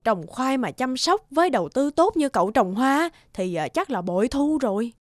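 A woman's voice: high voice, big pitch swings, normal speed, no echo. A woman playing a worried, practical female farm worker in a cartoon, fretful and plain-spoken. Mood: suggesting. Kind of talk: animated cartoon dialogue. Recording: loud background music under speech.

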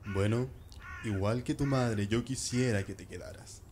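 A man's voice: fairly low pitch, unhurried, a little mellow, slight background noise, lightly acted. Man acting as a loving, solemn father. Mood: proud, earnest. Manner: earnest, tender. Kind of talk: radio drama acting.

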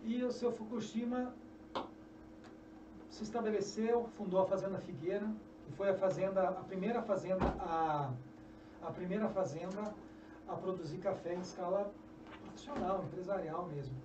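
A middle-aged man with a Brazilian Portuguese accent, a journalist and author telling history as a guest, animated and often restarting phrases, in a small podcast studio at a desk mic. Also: high voice, normal speed. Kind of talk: storytelling interview answers. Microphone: desk mic on a stand. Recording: echoey, distant, dull, noisy.

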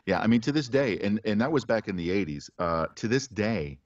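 Deep-voiced middle-aged man on a call. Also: no echo. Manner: relaxed, chuckling, halting restarts. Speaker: audiobook narrator, guest. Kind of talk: casual interview chat. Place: home studio with poster behind. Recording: dry, slightly dull video call audio.

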